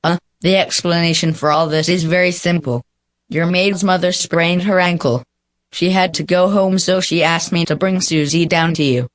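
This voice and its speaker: Radio play neighbor: easygoing, apologetic woman acting, lowish voice, dry close recording. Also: steady tone, moderate pace, dry recording, natural voice. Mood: friendly, apologetic. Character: friendly, apologetic neighbor, Mister Gailey.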